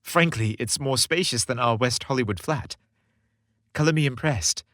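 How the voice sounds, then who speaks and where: A man's voice: medium pitch, moderate pace, a bit bright, no echo, studio quality, lightly acted. A man voicing a considerate, earnest young man in a romantic storybook tale, with a reassuring manner. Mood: admiring, warm. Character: gentle, considerate, tall young man in love.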